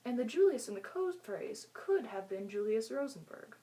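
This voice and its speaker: High-voiced woman reading the news. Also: very animated, normal speed, dark tone, rough recording. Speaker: news anchor and interviewer. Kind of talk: scripted news narration.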